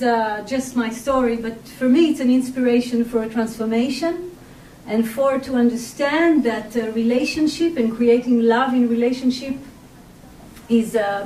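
Israeli-accented woman speaker, hesitant and soft, recorded at a distance in a reverberant hall. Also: fairly high pitch, slight background noise. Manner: hesitant, many fillers, soft-spoken. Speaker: workshop speaker. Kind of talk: personal talk to an audience. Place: community hall. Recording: echoey hall, mic at a distance.

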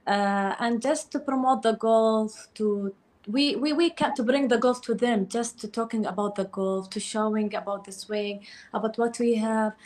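A woman with a Qatari Arabic accent, answering interview questions conversationally in halting, non-native English. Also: a little high, darkish tone. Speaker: golfer, podcast guest.

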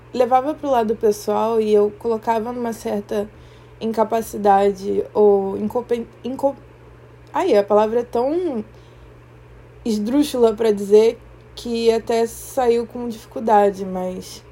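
A young Brazilian woman with a fairly high, mellow voice talks through her thoughts alone on a podcast. She speaks slowly and reflectively, pausing and restarting as she hunts for the right words. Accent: Brazilian Portuguese. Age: young adult. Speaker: podcast host. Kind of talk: reflective personal monologue.

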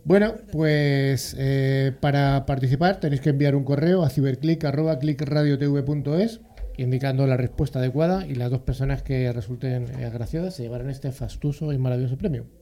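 A man's voice: mid-range voice, brisk, warm tone. A man hosting a radio talk show in an upbeat, jokey way. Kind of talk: casual radio chat.

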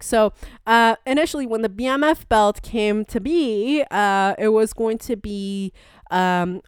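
A woman's voice: mid-range voice, smooth, dry recording, clean studio sound. A woman hosting a sports show, giving chatty, enthusiastic, colloquial commentary on fights in a casual style. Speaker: sports podcast host.

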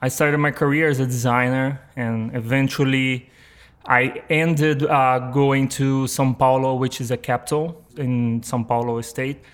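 A man in his thirties with a Brazilian accent and Portuguese as first language, chatting in an interview with halting phrasing. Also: medium pitch, fairly even intonation, clean tone. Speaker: podcast guest, motion and 3D artist. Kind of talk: casual interview conversation.

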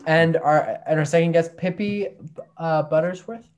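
A man hosting a comedy podcast, introducing guests and spinning long, deadpan, rambling riffs. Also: a little high, normal speed. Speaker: podcast host.

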